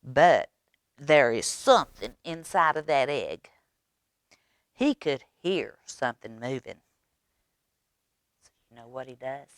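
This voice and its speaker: A woman reading a children's story aloud expressively, with dramatic pauses and coaxing questions to young listeners. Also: a bass voice, lively intonation, dry recording, clean studio sound. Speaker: library storytime reader.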